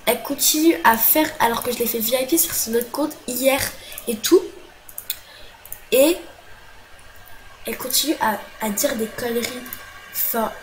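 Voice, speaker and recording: A young woman vents straight to camera, her fairly high voice tumbling over fillers and restarts as she talks. She has a cold, and faint noise hums under the recording. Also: a little slow, pauses often, poor audio. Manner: agitated, many fillers and restarts. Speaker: vlogger. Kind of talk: casual venting to camera.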